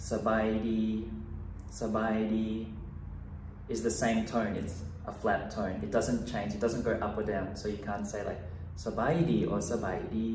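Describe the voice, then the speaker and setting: A relaxed young man gives a language lesson with an easygoing, unhurried manner. He sounds a little far from the mic, in a room with noticeable echo and a faint hum of ambience behind him. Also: mid-range voice. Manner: easygoing, laughs at own slips, switches languages. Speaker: language teacher. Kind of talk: bilingual video lesson. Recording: echoey room, mic at a distance.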